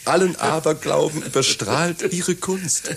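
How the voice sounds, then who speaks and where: An actor playing an obsequious, excitable businessman in a satirical radio play, gushing and servile in manner. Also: on the high side, measured pace, creaky, breathy edge, heavily acted. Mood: flattering. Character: fawning, excitable male admirer, obsequious businessman.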